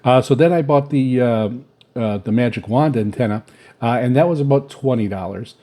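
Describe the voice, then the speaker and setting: Guy doing a casual hobby gear review, soft dark voice, lots of uhs, kinda rambles. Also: medium pitch. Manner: halting, rambling, hesitant with very frequent filler pauses. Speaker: shortwave radio hobbyist reviewing antennas. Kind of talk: unscripted casual product talk.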